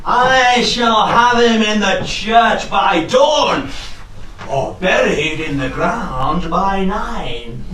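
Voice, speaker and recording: A man acts a blustering, pompous father from a Victorian stage farce. His voice is high for a man and he declaims very slowly in outrage. It is caught from far off in a very echoey hall. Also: background noise, exaggerated voice. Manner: pompous, declamatory, speaks in rhyme. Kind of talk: comic costume stage melodrama.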